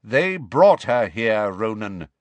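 Man voicing a snarling, proud, slow-spoken centaur. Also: fairly low pitch, sing-song, no room sound. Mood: stern. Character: stern, proud male centaur, menacing and unforgiving. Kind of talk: audiobook character acting.

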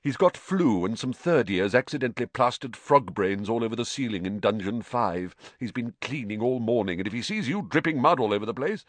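A man's voice: medium pitch, animated, a little fast, few pauses, no room sound, lightly acted. A man voicing an anxious, helpful male castle ghost in an audiobook, giving urgent warnings.

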